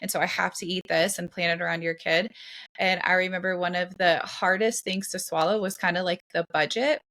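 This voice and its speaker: A woman's voice: lowish voice, fairly even intonation, brisk, fairly bright tone. A woman in her thirties co-hosting a podcast, talking casually with lots of fillers and restarts. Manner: chatty, many fillers and restarts. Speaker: podcast co-host.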